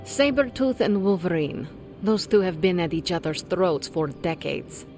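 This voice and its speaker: A woman playing a composed, wry mutant superhero with a measured manner in a video game drama. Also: lowish voice, lively intonation, a little mellow, faint music behind.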